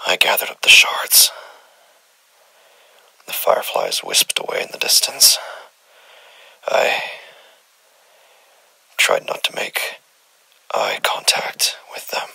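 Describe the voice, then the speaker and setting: A man playing a haunted, regretful investigator of the paranormal in a horror audio drama, with a hushed, rasping half-whisper full of dread. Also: a bass voice, wildly swooping pitch, no echo, full character voice, low-quality recording. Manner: hushed, slow, dread-laden.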